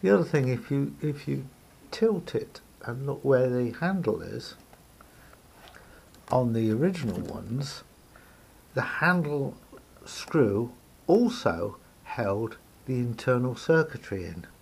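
Casting: man, hobby repairer type, gravelly and rough-edged, explanatory, unscripted, pausing to think aloud. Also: mid-range voice, lively intonation. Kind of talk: unscripted hands-on demonstration talk. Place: indoor room at a table.

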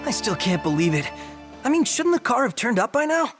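A boyish male sidekick from a game over faint music. He has a high, very animated voice and an excitable, casual way of talking. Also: a little fast. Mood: anxious, eager. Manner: casual, expressive.

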